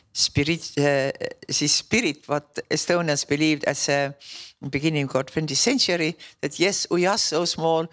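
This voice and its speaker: Halting, earnest man on a panel. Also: a little high, creaky, fairly bright tone. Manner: halting, repeating words, earnest. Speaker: panel speaker. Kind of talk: panel discussion talk.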